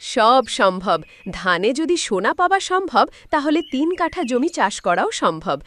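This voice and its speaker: A woman's voice: on the high side, very animated, normal speed, fairly bright tone. A woman voicing a bossy, greedy farmer's wife in a cartoon moral story, scolding and insistent. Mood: pushy, eager. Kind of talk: animated moral story voice acting.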